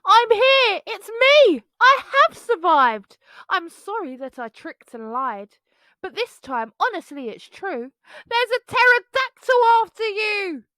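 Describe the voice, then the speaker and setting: A woman voicing a cheeky, boastful young boy dinosaur for a storybook, teasing and shouty. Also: very high voice, very animated, takes it slowly, no echo, voice pushed up, heavily acted. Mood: desperate pleading.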